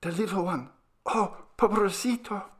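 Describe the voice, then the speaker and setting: A frightened little girl in a storybook ghost tale, acted out by a man reader. The voice is lifted high and pleading. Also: unhurried, a bit bright. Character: frightened little girl Maria, whimpering, Spanish-speaking.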